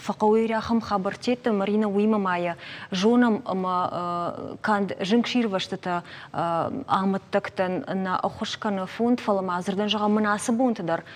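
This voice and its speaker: A woman's voice: medium pitch, steady tone, normal speed. A woman whose native language is Ossetian, speaking as a presenter giving a report. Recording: clearly noisy location sound.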